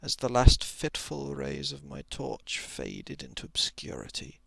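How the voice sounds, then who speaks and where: A man reading a story in a soft, hushed ASMR style, with measured phrasing that often sinks into a whisper, close to the microphone. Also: mid-range voice, huge pitch swings, gravelly, no room sound. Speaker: narrator.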